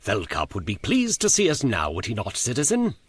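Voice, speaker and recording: A man voicing a calm, commanding, mysterious stranger in a horror story, speaking formally and with faint amusement. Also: fairly low pitch, fairly quick, slightly rough, voice pushed up, heavily acted. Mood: smooth, indulgent. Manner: unruffled, formal, old-fashioned, faintly amused. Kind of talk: dramatised horror short story.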